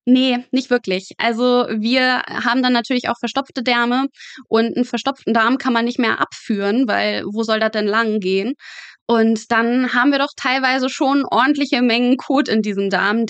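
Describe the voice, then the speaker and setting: A young woman speaks in a fairly high voice with a dry, room-free sound. She explains things colloquially as a guest, matter-of-fact and drily humorous. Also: steady tone, normal speed, clear, resonant tone, no room sound. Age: young adult. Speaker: podcast guest, medical student working in pathology. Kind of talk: casual explanatory chat.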